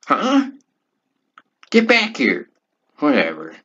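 A man playing a brash, dim, impatient comedy show host, blunt and exclamatory, quick to dismiss people. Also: a little high, wildly swooping pitch, sharp tone, husky, muffled recording, full character voice.

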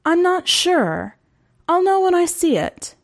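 A woman narrator plays a lost, searching city explorer in an audiobook. The voice is lifted high and sounds polite and hesitant, with slow, careful delivery. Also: very animated, exaggerated voice. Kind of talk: audiobook character dialogue.

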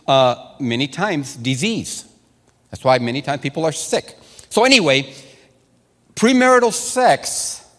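Spanish-native man preaching in an echoey hall. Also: a little high. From Mexico. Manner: animated storytelling with repeated words and restarts. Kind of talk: sermon to a congregation.

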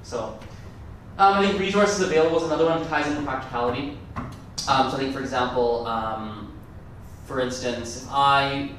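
A man's voice: medium pitch, normal speed, fairly bright tone, a little hiss or hum. Chatty man speaking in an echoey hall. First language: Gujarati. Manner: chatty, rambling, self-correcting. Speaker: conference speaker. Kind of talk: casual conference talk. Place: large conference room. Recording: echoey hall, mic from far off.

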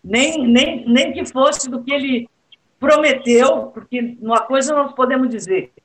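A woman's voice: high voice, unhurried, poor audio. A woman with a Brazilian accent speaking as a panelist in a political discussion, with an ironic way of talking.

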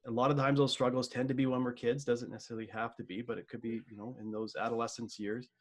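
A man's voice: mid-range voice, little pitch movement, fast talker. A man hosting a podcast interview, asking long, rambling questions full of fillers and restarts. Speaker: podcast host.

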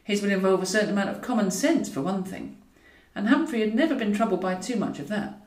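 Female storyteller, wry and animated audiobook read, echoey room sound. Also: mid-range voice, quick pace. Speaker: author reading own novel. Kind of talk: scripted audiobook narration of comic fiction. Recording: roomy echo, distant from mic.

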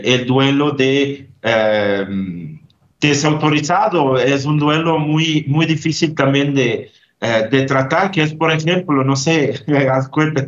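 A man's voice: medium pitch, dull audio. A man from Italy, a grief therapist, answering interview questions thoughtfully with frequent hesitations and tag questions.

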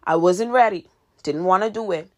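A woman's voice: lowish voice, moderate pace. A woman in her twenties hosting a podcast, giving earnest advice in a casual monologue and repeating her key points for emphasis. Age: 20s. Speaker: podcast host and author.

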